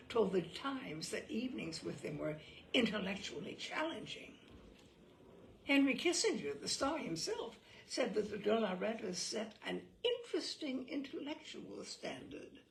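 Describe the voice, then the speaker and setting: A woman host reading a humorous essay aloud in a wry, lively storytelling manner. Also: mid-range voice, vocal fry, slight background noise. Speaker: host and reader. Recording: echoey room.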